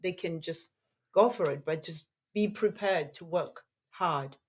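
Soft-voiced woman, low and husky. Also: unhurried. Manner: animated, laughs often, restarts sentences. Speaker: podcast guest, nutritionist. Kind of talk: casual interview chat.

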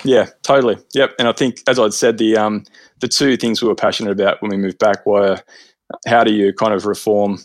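A man, a podcast guest, talking in a relaxed, earnest way with plenty of fillers. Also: on the low side, brisk, no room sound. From Australia. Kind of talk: casual interview chat.

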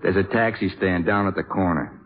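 An actor playing an earnest, awkward American jazz musician, plain-spoken and halting, in 1950s radio drama style. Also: male voice, low-pitched, lightly acted. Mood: hurt, indignant. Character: earnest, awkward, lovestruck male jazz trumpet player. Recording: tinny, narrow old radio broadcast.

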